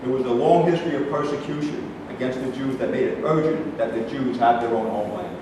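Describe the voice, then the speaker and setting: A man's voice: medium pitch, fairly even intonation, brisk, lightly acted. A man acting in a staged reading as a stubborn, defensive Israeli ex-soldier, arguing emphatically in formal wording. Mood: insistent. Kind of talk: staged play reading, declaimed debate dialogue. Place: live staged reading in a reverberant room, distant microphone. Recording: echoey hall from far off, faint noise.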